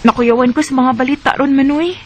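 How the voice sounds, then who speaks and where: High-pitched sweet Bisaya schoolgirl, played by a woman. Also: steady tone, fast, noisy background, close to an everyday voice. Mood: worried. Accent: Filipino, Bisaya. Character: sweet young schoolgirl, devoted, cautious younger sister. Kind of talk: Filipino radio drama acting.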